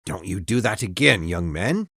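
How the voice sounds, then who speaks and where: A man reading a story aloud voices a rough old cab driver, gruff and blunt. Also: low-pitched, lively intonation, normal speed, pushed and bright, no echo, studio quality, heavily acted. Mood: scolding.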